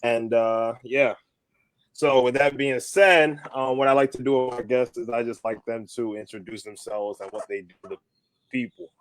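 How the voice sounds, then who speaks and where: A man's voice: medium pitch, fairly even intonation, normal speed, no echo, poor audio. A man hosting an interview on a video call, asking eager questions and backchanneling steadily. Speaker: podcast host.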